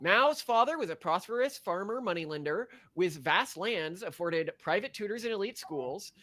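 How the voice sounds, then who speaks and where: A man's voice: high voice, expressive, moderate pace, bright, forceful tone. A man co-hosting a podcast, talking in a rambling, sarcastic way and reading facts off a list. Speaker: podcast co-host. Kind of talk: casual opinionated chat.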